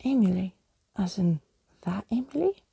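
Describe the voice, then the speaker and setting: A woman's voice: mid-range voice, expressive, normal speed, creaky, dark tone, slight background noise. Woman playing a cross, scolding girl. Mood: indignant. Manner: blurting, scornful. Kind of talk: acted storybook reading.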